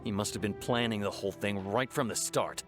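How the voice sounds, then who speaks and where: An actor playing a stern, earnest law officer in a video game, speaking in a formal, measured way. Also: male voice, on the low side, faint music behind, natural voice. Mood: grave, frustrated. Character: stern, earnest male law officer giving case report.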